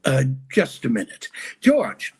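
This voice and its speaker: An actor playing a blustery, penny-pinching older husband in a 1940s radio sitcom, husky and halting. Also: male voice, mid-range voice, wildly swooping pitch, exaggerated voice.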